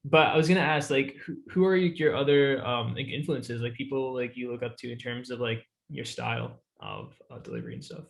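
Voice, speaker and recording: A man's voice: medium pitch, big pitch swings, fairly quick, creaky, hardly any pauses. A man interviewing a musician, easygoing and chatty, swearing casually.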